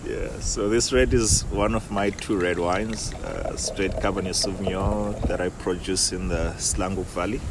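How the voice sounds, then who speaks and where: A very low-pitched young man from Zimbabwe chats easily about his work as a winemaker. He talks in an unhurried, reflective way, often murmuring agreement. He is recorded outdoors, with a clear steady hum of background noise. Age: young adult. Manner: relaxed, reflective, frequent affirming "yeah". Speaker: winemaker guest. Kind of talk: casual interview chat. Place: outdoors under a large tree.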